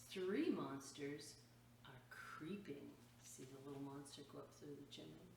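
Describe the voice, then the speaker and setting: Slow-paced woman reading a picture book aloud. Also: fairly low pitch. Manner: expressive, exclaiming, pausing to point out pictures. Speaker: storytime reader at a library. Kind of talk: animated picture-book read-aloud with asides to children. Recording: roomy echo, distant mic, faint noise.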